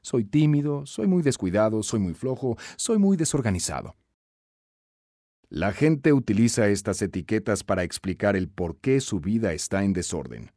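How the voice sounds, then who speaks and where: A man narrating a scripted self-help audiobook in an emphatic, instructive, expressive way. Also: on the low side, moderate pace, no echo, studio quality.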